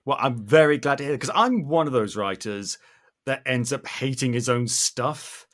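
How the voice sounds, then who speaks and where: A man's voice: medium pitch, expressive, normal speed, a bit bright. A man hosting a casual interview podcast, self-deprecating and a little theatrical in how he talks.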